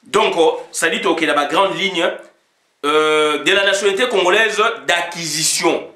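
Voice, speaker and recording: A man's voice: high voice, unhurried, bright, forceful tone, reverberant. A man giving an informal political monologue as a commentator, switching between two languages, with many fillers, sometimes reading legal text aloud.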